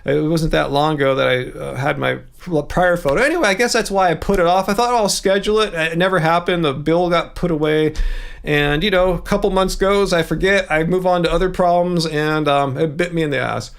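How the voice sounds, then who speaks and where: A man hosting a casual livestream, rambling chattily from one topic to the next as he reads out viewer messages. His voice sits somewhat high for a man and carries a steady gravelly fry. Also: a little fast. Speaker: livestream host.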